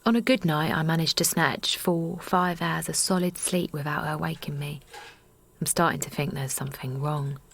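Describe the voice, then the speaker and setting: A woman's voice: low-pitched, fairly even intonation, moderate pace, vocal fry, fairly bright tone, slightly rough, exaggerated voice. An actress playing a tired mother of a baby with an English accent, narrating confidingly in first person for an audio drama. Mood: weary. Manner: confiding, present-tense storytelling.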